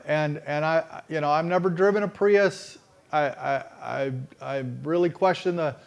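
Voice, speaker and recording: Folksy Texan man preaching. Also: fairly high pitch. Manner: folksy and conversational, with emphatic slowed phrases. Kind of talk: sermon-style storytelling.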